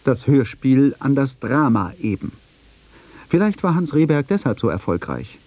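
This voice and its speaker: Radio feature narrator, male, mid-pitched, husky and mellow, sober, precise. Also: big pitch swings, moderate pace, no room sound. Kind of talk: scripted documentary narration.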